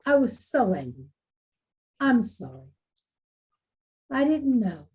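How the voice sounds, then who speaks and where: Female, very husky yet highish, acting as a candid, probing principal; unhurried, expressive drama read. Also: full character voice. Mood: remorseful. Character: proud female school principal, probing and softening. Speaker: actor in a table read. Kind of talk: dramatic screenplay table read.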